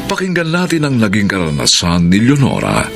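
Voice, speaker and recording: A male announcer introduces a Filipino radio drama with theatrical scripted narration. His low, gravelly voice sweeps up and down over loud music. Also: wildly swooping pitch, fairly quick.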